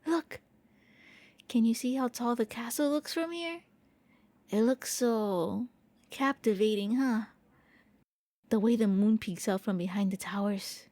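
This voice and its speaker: A woman voicing a gentle, shy vampire prince in an intimate ASMR roleplay, speaking softly and a little hesitantly. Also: on the high side, a little slow, pauses often, no room sound. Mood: wistful, curious. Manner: gentle, hesitant, intimate, stammering when flustered. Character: gentle, shy, eager young-looking immortal vampire prince. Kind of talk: soft ASMR roleplay monologue.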